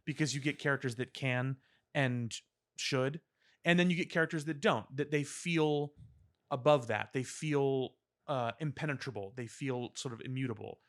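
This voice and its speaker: A man co-hosting a podcast, talking earnestly and articulately in casual discussion, with elaborate words and deliberate pauses. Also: medium pitch. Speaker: podcast co-host. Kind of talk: opinionated casual pop-culture discussion.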